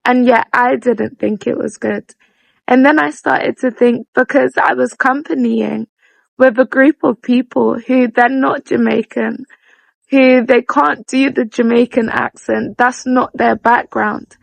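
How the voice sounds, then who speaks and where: A young woman hosting a casual, reflective monologue, chatty and quick to laugh at herself. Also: a little high, steady tone, gravelly, dry recording, rough recording. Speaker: vlogger and podcast host.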